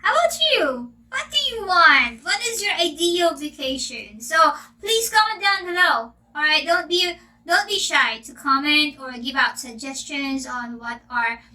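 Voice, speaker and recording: A woman's voice: high voice, expressive, a little slow, bright, forceful tone. A Filipino woman, an English teacher who grew up speaking Filipino, chatting casually in a Q&A vlog, asking questions and stretching her words. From Philippines. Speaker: English teacher and channel host.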